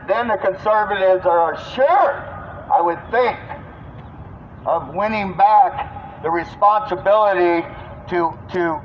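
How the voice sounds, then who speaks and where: American male street activist on a megaphone, very high voice, scolding and insistent, dull muffled sound. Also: a little hiss or hum. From United States. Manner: scolding, haranguing, insistent rhetorical questions. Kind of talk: political street speech through a megaphone. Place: outdoors on a city street.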